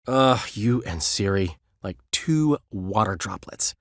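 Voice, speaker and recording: A man's voice: fairly low pitch, animated, slow, no echo, studio quality. An actor playing a flamboyant, talkative male bard in a video game, witty and theatrical. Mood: teasing. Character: flamboyant, chatty middle-aged male bard and poet. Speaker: game character.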